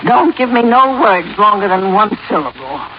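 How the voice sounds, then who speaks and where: An actress playing a crabby, boozy, slow-witted older landlady who grumbles in slang, in an old-time radio detective drama. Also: medium pitch, very animated, close to an everyday voice. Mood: grumbling, suspicious. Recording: noisy, muffled old radio recording.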